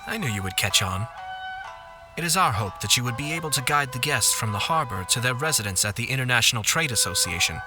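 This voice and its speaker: A man's voice: medium pitch, expressive, fast talker, music in the background, close to an everyday voice. A man playing a courteous, dutiful young noble official in a video game, speaking formally and with composure. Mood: formal briefing.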